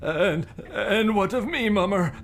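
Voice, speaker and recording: A woman's voice: medium pitch, lively intonation, measured pace. A woman playing a frightened, pleading noblewoman in a theatrical gothic audio drama. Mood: fearful. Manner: distraught, pleading. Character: frightened noblewoman devoted to her prince. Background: dramatic music.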